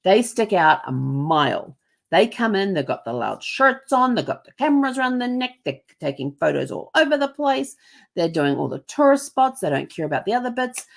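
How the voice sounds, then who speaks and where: A woman's voice: medium pitch, big pitch swings, normal speed, gravelly. A middle-aged woman from New Zealand with a mixed Kiwi and American accent, telling travel stories in a chatty, rambling way. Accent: mix of New Zealand and American. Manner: chatty, lots of ums and restarts, puts on voices for others. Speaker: host. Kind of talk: casual travel storytelling.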